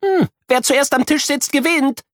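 A cheeky, bossy invisible friend for a children's radio story, put on by a man. The voice sits high and swoops all over, teasing and impatient, recorded close and dry. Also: huge pitch swings, measured pace, no echo, full character voice.

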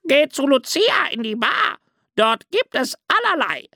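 Reader as a shrill squawky parrot, high and bouncy, storybook. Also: very animated, a little slow, bright, forceful tone, no room sound, studio quality, full character voice. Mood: eager. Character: shrieking talkative parrot.

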